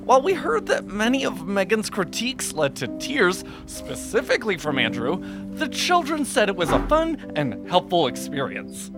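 A woman's voice: mid-range voice, huge pitch swings, moderate pace. A woman playing a chipper parish announcer in an audio drama, reading scripted church news with comic cheer. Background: plucked guitar music.

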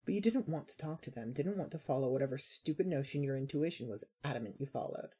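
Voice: mid-range voice, lively intonation, quick pace, warm tone, slightly rough. A podcast narrator reading a scripted second-person story aloud. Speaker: narrator of a fan fiction podcast.

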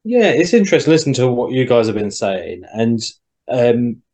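A young man in a casual group discussion, reflecting out loud and often acting out quoted remarks. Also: mid-range voice, sing-song, moderate pace. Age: young adult. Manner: reflective, talks around his point with quoted asides. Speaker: podcast co-host.

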